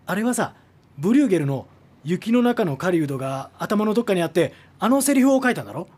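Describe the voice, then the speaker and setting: A man's voice: high-pitched, very animated, quick pace, darkish tone, breathy edge, full character voice. An actor playing an earnest, patient man who mediates in a radio drama, with a hesitant, placating manner. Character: earnest male go-between, patient and conciliatory. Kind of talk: modern radio drama acting.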